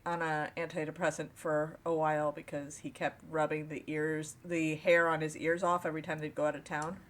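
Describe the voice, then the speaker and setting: Low-voiced woman, playful podcast co-host. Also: little pitch movement, moderate pace. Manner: playful, teasing. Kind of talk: casual chat.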